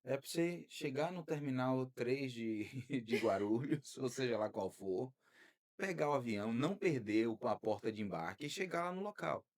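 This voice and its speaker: A man hosting a podcast interview, talking in a lively way and drifting into preacherly asides. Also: mid-range voice, normal speed. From Brazil. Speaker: podcast host. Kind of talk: casual interview.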